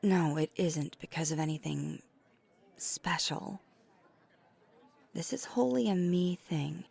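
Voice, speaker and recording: A woman voicing a flirty, caring vampire in an intimate ASMR roleplay, soft-spoken and breathy, sometimes near a whisper, with halting hesitations. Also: on the low side, animated, full character voice. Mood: gentle, concerned. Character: flirty, caring female vampire on a dinner date.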